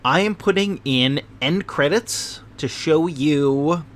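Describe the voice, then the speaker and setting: A man reading a classic novel aloud as narrator, in a measured way with the odd slip and restart. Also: on the high side, fairly bright tone, slight background noise. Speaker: narrator and channel host.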